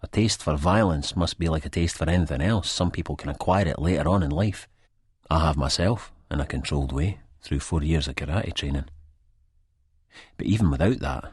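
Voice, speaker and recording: Deep, very husky Scottish male narrator. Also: no echo, studio quality. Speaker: audiobook narrator. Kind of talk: dramatic first-person scripted narration.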